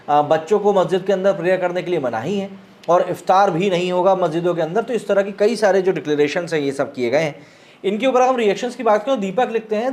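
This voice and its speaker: A man with an Indian accent and a fairly high voice delivers news commentary. His phrasing is formal and newsy, with colloquial asides mixed in. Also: a little mellow. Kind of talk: news explainer commentary, reading out online reactions.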